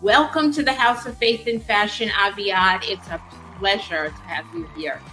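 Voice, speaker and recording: A woman's voice: medium pitch, normal speed, pushed and bright, poor audio. Brief: woman host, gushing interviewer, light music bed under the voice. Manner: gushing, effusive praise. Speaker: talk show host. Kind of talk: hosting an interview.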